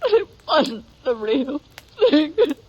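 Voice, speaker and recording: A woman playing a grieving middle-aged American frontier widow in a 1950s radio drama, halting and distraught as she repeats herself. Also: high voice, very animated, darkish tone, heavily acted. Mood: breaking down. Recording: dull, noisy 1950s radio recording.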